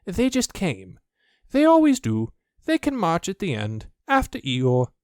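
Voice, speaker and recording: A man's voice: high voice, huge pitch swings, measured pace, a little mellow, clear, resonant tone, no echo, clean studio sound, pitched above the performer's own voice, exaggerated voice. A man voicing a self-important rabbit in a storybook, speaking in a careless, clipped, dismissive way. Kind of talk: storybook character voice.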